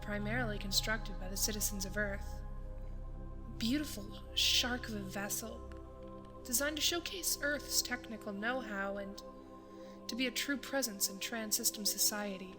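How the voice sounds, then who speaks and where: A woman's voice: medium pitch, moderate pace, lightly acted. Woman acting a reflective space-drama narrator over music. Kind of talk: audio drama first-person narration. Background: music score.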